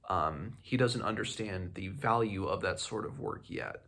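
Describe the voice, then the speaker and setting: Deep-voiced man with a gravelly edge. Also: lively intonation, sharp tone. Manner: halting, thinking aloud. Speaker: host of a book read-along. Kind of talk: casual book discussion.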